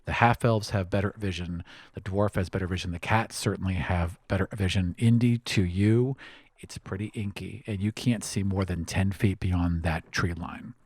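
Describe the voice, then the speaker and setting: A man narrating as game master in a calm, descriptive way. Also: low voice, moderate pace, no room sound.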